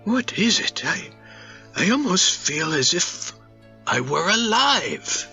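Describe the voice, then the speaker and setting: An actor playing a weary, grieving widowed gentleman with a breathy, wistful voice. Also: high voice, big pitch swings, slow pace, dull audio, soft background music, exaggerated voice. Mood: wondering.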